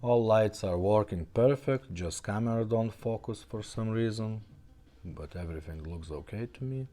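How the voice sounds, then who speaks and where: A man giving a casual hands-on product demonstration in simple, sometimes halting phrases. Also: on the low side, warm tone. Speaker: car radio repairer demonstrating a retrofit.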